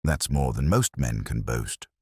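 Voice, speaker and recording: A man voicing a formidable, proud older woman, brisk and indignant, in a mystery story. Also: extremely low pitch, expressive, mellow, slightly rough, no echo, clean studio sound, pitched below the performer's own voice, exaggerated voice. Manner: brisk, emphatic, wounded pride.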